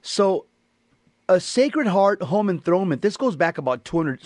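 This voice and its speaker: Higher-pitched American man hosting talk radio. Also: very animated, moderate pace, a little mellow, dry recording, clean studio sound. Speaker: radio show host. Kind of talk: talk radio monologue commentary.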